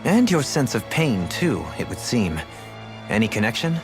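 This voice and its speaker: A man playing a composed, dryly wry companion in a fantasy game, with formal, measured phrasing. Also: medium pitch, sing-song, over music, close to an everyday voice. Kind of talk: video game voice acting.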